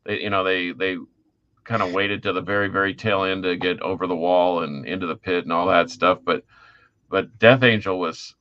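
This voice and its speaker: A man's voice: low-pitched, steady tone, moderate pace, sharp tone, dull audio. A middle-aged man chatting casually and enthusiastically as a music podcast co-host, using informal slang.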